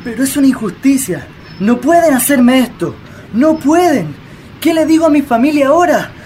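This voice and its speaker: A woman's voice: high voice, expressive, moderate pace, warm tone, slight background noise. A woman playing a pleading, honest young male worker in a radio drama. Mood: distressed protest.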